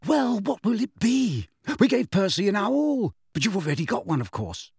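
Guy doing a excitable storybook mum, high pitched and breathy-excited, swoops around, fussing and doting. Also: a little slow, no room sound, studio quality, heavily acted. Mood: breathless, fond. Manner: breathless, gushing. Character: fussy, doting middle-aged mother, gushing and excitable.

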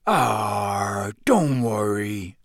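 A man putting on a big, kindly gamekeeper who drawls slowly with a rough, homely warmth. His voice sits in an ordinary middle register, recorded close and dry, and he never hurries a word. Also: medium pitch, animated, no echo. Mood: gentle. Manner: slow, soothing, dropped letters. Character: huge gentle gamekeeper, big warm man.

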